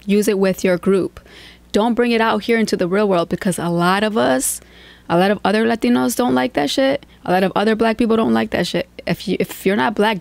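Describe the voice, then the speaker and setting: A woman's voice: medium pitch, vocal fry, a little mellow, no room sound. A woman hosting a reaction video, blunt and chatty, giving her opinions plainly. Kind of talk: casual reaction commentary.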